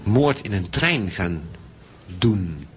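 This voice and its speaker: Man acting a wry, low-voiced thriller writer. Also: animated, very slow, slight background noise. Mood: scheming. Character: impatient, wry male thriller writer travelling by train. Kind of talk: radio play acting.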